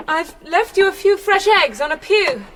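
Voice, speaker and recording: An actress playing a polite, curious young vicar's wife, gentle and reserved, in a period radio drama. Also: female voice, extremely high pitch, lively intonation, normal speed, noisy background. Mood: hurried, polite.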